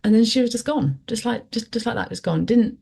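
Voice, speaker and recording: A woman's voice: a little high, a little fast, gravelly, mellow, slightly rough. A woman, a guest recounting a personal experience in a reflective, hesitant way. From West Yorkshire, England. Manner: reflective, hesitant, lots of fillers. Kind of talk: conversational storytelling interview.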